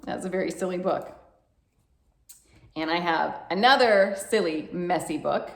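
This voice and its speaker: A woman's voice: on the low side, measured pace, vocal fry, echoey room. A woman hosting a children's storytime, reading a picture book aloud in a playful, rhythmic, emphatic way. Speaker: children's library storytime host.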